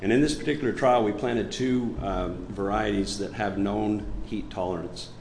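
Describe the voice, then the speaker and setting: A man's voice: lowish voice, moderate pace, darkish tone, slight background noise. A man with a chest cold giving a research presentation, walking through his slides and pointing out details. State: chest cold, voice deeper than usual. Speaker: crop researcher presenting findings. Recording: roomy echo.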